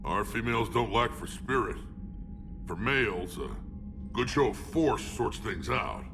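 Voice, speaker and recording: A battle-hardened alien warlord from a video game, with a very low, gravel-filled growl. He is blunt, proud and sardonic. The sound is a little distant, over clear background ship noise. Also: animated, unhurried, over music. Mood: wry. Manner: blunt, sardonic, weighty delivery. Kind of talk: video game character acting.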